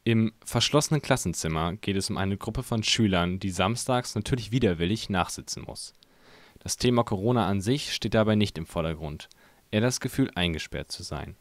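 A man's voice: fairly low pitch, expressive, brisk, no room sound. A man reading scripted narration for a news report as its reporter.

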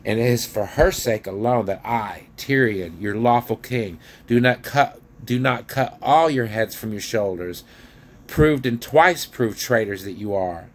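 A man's voice: lowish voice, a little slow. A man voicing a proud, stern young king in a storybook reading, formal, threatening and declamatory in an archaic manner.

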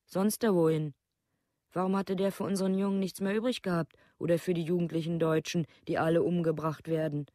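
A woman's voice: fairly low pitch, steady tone, a little fast, mellow, no room sound, studio quality, lightly acted. An actress playing an embittered, plain-spoken village mother in a documentary radio play, blunt and repetitive. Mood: bitter, aggrieved.

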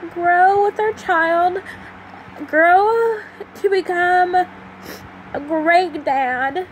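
Young woman, 25, high voice, slow halting emotional confession to a close phone, faint fan hum behind. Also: poor audio. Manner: emotional, halting, with many pauses and fillers. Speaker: vlogger. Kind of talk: confessional personal vlog. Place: small room at home at night, fan running. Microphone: phone held close to the face.

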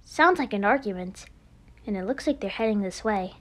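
A woman voicing a calm, composed teenage former idol in an anime game, measured and matter-of-fact. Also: mid-range voice, pitched below the performer's own voice. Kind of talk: amateur anime game voice acting.

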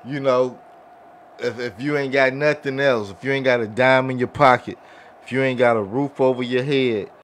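A man's voice: medium pitch, steady tone, normal speed, a bit bright, slight background noise. An American man chatting casually on a podcast, earnest and rambling, often adding "you know". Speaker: podcast co-host. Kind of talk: casual chat. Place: small room set up as a podcast studio. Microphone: studio mic on boom arm.

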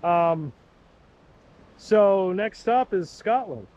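A man's voice: high voice, expressive, very slow, a little mellow, highs cut off. A middle-aged man vlogging a hike along a rocky seaside trail, chatting casually and rambling with curiosity into a camera on a pole. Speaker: hiking vlogger. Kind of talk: casual walking commentary. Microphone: 360 camera on a pole.

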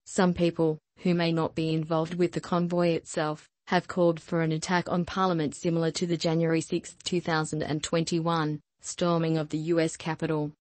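Woman, news voice-over, low pitch, monotone, dry close recording. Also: moderate pace, hardly any pauses, no echo. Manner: even, flat newsreader delivery. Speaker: news reader. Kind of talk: scripted news narration.

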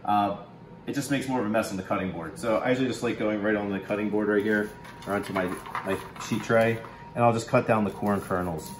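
A man's voice: on the low side, fast talker, noisy background. Man, thirties, chef, casual cooking demo, filler-heavy, echoey kitchen. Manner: relaxed, instructive, many ums and uhs. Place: large home kitchen, indoors. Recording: echoey kitchen room sound.

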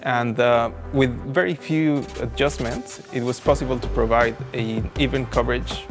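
A man in his thirties giving an explanatory interview in measured, deliberate phrases. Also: mid-range voice, fairly even intonation, music in the background. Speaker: audio consultant. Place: indoor carpeted function room.

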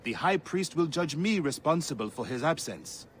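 An actor playing a gentle, weary male village priest who speaks politely in a video game. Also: on the high side, lively intonation, slight background noise, lightly acted. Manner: polite, measured, courteous.

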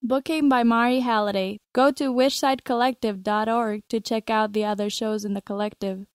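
A woman's voice: a little high, fairly even intonation, moderate pace, clear, resonant tone, dry recording, clean studio sound. A woman reading a scripted podcast outro as an announcer.

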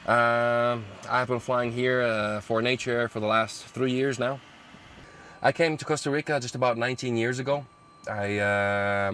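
A man in his forties, an airline captain, casually explaining his career and routes to a camera in a small plane's cockpit, pausing often with fillers. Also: medium pitch, slight background noise. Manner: relaxed, explanatory, with frequent hesitations. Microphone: camera close in front of him.

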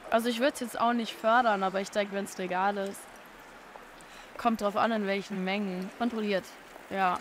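A woman's voice: medium pitch, fairly even intonation, moderate pace. A young woman casually sharing her opinion in a street interview. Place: outdoors on a city square. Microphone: handheld interviewer's mic. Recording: faint outdoor city noise.

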